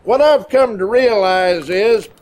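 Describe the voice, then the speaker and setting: An actor playing a blustery, folksy older American general in a video game cutscene. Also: male voice, high-pitched, takes it slowly, a little mellow. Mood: jovial.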